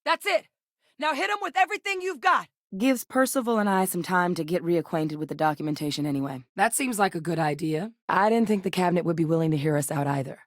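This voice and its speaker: A woman voice actor playing a serious, determined officer in a sci-fi video game, speaking in a measured, businesslike way. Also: on the low side, lively intonation, no echo, studio quality, close to an everyday voice. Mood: fired up. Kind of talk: scripted game character dialogue.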